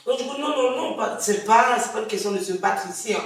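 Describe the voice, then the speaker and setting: A woman's voice: mid-range voice, a little fast. A woman co-hosting a casual chat podcast, telling stories animatedly with laughter. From Ivory Coast heritage. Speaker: podcast co-host. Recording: echoey room from far off, soft music.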